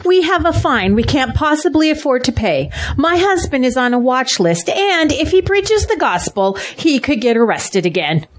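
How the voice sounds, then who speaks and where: Woman acting as fretful pastor's wife, high pitch, swooping intonation, emotional, audio drama. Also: very animated, normal speed, few pauses. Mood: distraught. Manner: worried, emotional, questioning.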